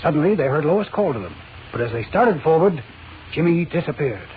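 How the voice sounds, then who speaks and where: A man's voice: on the high side, sing-song, fairly quick. A man narrating a vintage radio serial in a dramatic, suspenseful, portentous scripted style. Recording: tinny, noisy old radio recording.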